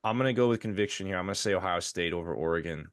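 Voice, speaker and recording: A man's voice: low voice, racing, dry recording, studio quality. A man co-hosting a casual sports podcast, talking with frequent restarts, his voice tired. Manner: stammering restarts, conversational. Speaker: podcast co-host.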